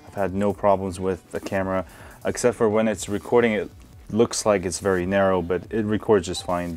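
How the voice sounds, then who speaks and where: A man hosting a casual hands-on phone review, relaxed and full of filler words, recorded indoors at a desk. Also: sits low. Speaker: tech video host.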